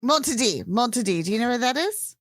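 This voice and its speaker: A woman hosting a podcast, telling a travel story in a lively, casual way with plenty of fillers. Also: a little high, a little fast. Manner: animated storyteller, many fillers. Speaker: podcast host.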